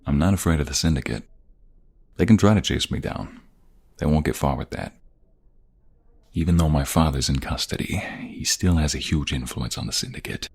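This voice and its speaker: Man acting a very deep, husky protective lover. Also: normal speed, no echo, heavily acted. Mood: defensive, firm. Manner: soft and intimate, breathy, often dropping to a whisper. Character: protective, teasing young ex-mobster doting on his love. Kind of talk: ASMR romantic roleplay acting.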